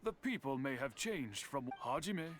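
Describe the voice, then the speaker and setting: An actor playing a courteous older master craftsman in an anime-style game, modest, formal and measured. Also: mid-range voice, very animated, a little hiss or hum.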